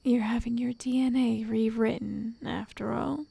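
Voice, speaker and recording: A woman voicing an obsessive, lovestruck witch in an ASMR roleplay, soft and whispery, coaxing with possessive endearments. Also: on the high side, steady tone, measured pace, vocal fry, husky, no echo, full character voice. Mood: patient, controlling.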